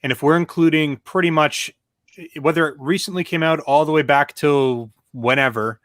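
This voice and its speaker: Higher-voiced man in casual panel chat. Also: normal speed, fairly bright tone, no echo, clean studio sound. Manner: conversational, opinionated.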